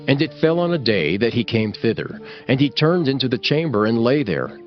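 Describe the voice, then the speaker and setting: A man's voice: mid-range voice, sing-song, normal speed, lo-fi sound, soft background music. A man narrating a scripture audiobook in a formal, solemn storytelling manner.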